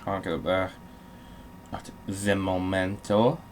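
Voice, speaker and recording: A man's voice: low-pitched, little pitch movement, takes it slowly, a little hiss or hum. A man giving casual, sweary commentary while playing a video game, in a wry, grumbling manner.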